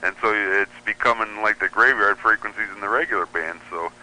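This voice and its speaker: A man's voice: on the low side, a little fast, pushed and bright, rough recording. A man from the United States in a casual interview, explaining things in a discursive way as a hobbyist guest. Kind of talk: casual interview talk about hobby radio.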